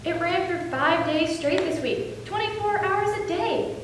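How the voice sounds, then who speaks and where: A woman acting haunted young male soldier, high-pitched, readers theater monologue, distant hall recording. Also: a little slow. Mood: weary. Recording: echoey hall from far off, faint noise.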